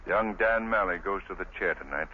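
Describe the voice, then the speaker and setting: An actor playing a calm, thoughtful gentleman detective, speaking in a measured, explanatory way in a 1930s radio drama. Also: sits low, lightly acted. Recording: tinny old radio broadcast, faint hiss.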